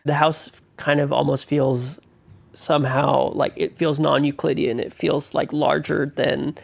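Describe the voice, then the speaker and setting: A man's voice: on the high side, flat delivery, a little slow, gravelly, no room sound. A man explaining things casually in an interview. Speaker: interviewee, house resident.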